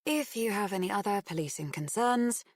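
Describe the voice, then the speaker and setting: A woman voicing a patient, matter-of-fact female police officer in an audiobook, official and brisk. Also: mid-range voice, expressive, no echo, studio quality, voice pushed up, natural voice. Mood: businesslike.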